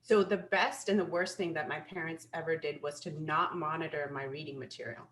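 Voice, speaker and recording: A woman being interviewed as a guest, telling lively stories and laughing often. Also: sits low, a little fast, slight background noise. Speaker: guest, business attorney. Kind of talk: casual interview chat. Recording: roomy with faint ambience.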